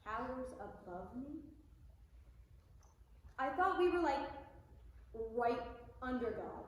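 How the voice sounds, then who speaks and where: Woman as high, lively, questioning apprentice reaper. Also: takes it slowly. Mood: puzzled. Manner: questioning, casual, incredulous. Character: inquisitive young male apprentice reaper, flippant, reluctant. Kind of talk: amateur theatre read performance. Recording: echoey room with faint noise.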